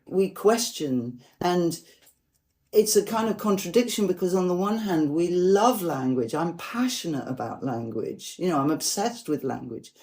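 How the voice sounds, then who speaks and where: A woman poet speaking in an earnest, reflective way about language, slowing down to stress her ideas. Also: on the low side, animated, darkish tone. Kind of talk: reflective conversation about poetry.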